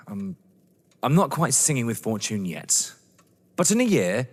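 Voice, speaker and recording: An actor playing a young English lover, earnest, polite, proud and defensive, in a theatrical audio drama. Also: male voice, mid-range voice, sing-song, a little slow. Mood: uneasy. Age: young adult. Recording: echoey hall-like reverb.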